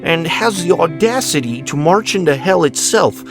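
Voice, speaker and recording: A man voicing a cocky, excitable male follower in an audiobook, with emphatic, swaggering, rhetorical delivery. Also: fairly high pitch, moderate pace, voice pushed up. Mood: fired up. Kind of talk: acted audiobook dialogue. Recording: music behind the voice.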